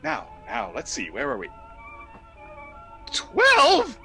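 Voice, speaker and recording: Male actor as appalled, flustered nephew for radio farce; stammering exclamations, sharp forceful voice, hugely varied pitch. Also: wildly swooping pitch, slow, soft background music, heavily acted. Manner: exasperated, stammering, wildly exclaiming. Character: frantic, appalled nephew discovering his aunts' crimes. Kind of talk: theatrical radio comedy acting.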